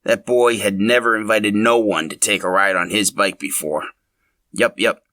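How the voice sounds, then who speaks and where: Man voicing a folksy old hermit, bright and lively. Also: fairly low pitch, moderate pace, no room sound. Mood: reassuring, amused. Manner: folksy, rustic, amused and knowing. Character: friendly old hermit man living in the hills.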